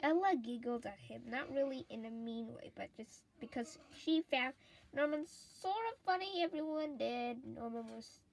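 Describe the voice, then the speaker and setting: A woman reading a children's story aloud with a deliberate, expressive delivery. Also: fairly high pitch, creaky, poor audio.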